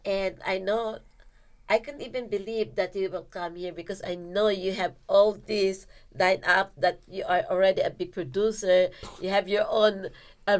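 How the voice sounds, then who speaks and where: Female podcast host, conversational, rambling questions, mid-pitched voice. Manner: warm, rambling questions with many fillers. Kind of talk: casual podcast interview.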